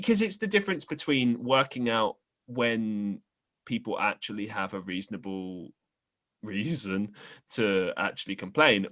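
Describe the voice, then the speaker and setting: A man hosting a podcast interview, chatting casually in an animated, self-deprecating way. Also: fairly low pitch. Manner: animated, self-deprecating, frequent laughs and restarts. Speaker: podcast host.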